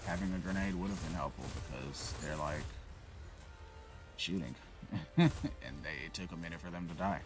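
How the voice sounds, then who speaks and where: A man's voice: low voice, moderate pace, pauses often. A man giving casual, rambling commentary while playing a shooter game, cursing and joking at himself. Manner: rambling, self-mocking, swears and vents at the game. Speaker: gaming channel host.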